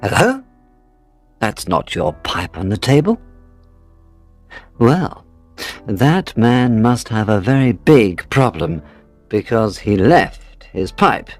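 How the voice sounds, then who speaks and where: A sharp, self-assured Victorian detective, voiced by a man, laying out his deductions slowly and with lively rises and falls. He sounds a touch impatient, with faint music under the storybook reading. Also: medium pitch. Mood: reasoning. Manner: measured, reasoning aloud, a little impatient.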